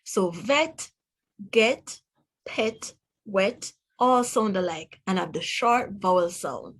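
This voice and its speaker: A woman reading aloud to young children as a teacher, pronouncing words deliberately one by one and putting questions to the listener. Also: mid-range voice, lively intonation. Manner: deliberate word-by-word enunciation, asks the listener questions. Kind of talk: read-aloud phonics lesson for children.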